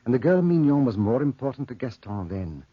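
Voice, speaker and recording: An actor playing a wry narrator of a dark desert tale in 1940s radio drama style, theatrical and deliberate. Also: male voice, medium pitch, sing-song, husky, dry recording. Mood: ominous, suspenseful. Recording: old lo-fi radio recording.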